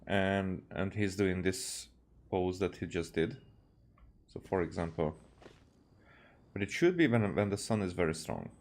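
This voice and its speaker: A man doing casual gameplay commentary as a streamer, with a halting, hesitant delivery. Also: low-pitched, expressive, darkish tone. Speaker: game streamer. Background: game music.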